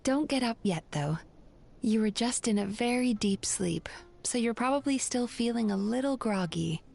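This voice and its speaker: A woman playing a gentle dream therapist in a video game, speaking softly in a soothing, careful manner. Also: on the high side, lively intonation, moderate pace, vocal fry. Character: gentle, attentive female dream therapist guiding a session. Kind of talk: anime-style video game voice acting. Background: game music.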